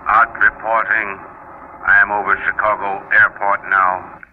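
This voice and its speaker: Slow, wooden zombie pilot acted by a man. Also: on the low side, flat delivery, natural voice. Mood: blank obedience. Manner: slow, wooden, echoes orders. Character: obedient, entranced zombie werewolf airline pilot, male. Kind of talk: old-time radio drama acting. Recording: muffled, noisy old radio drama recording.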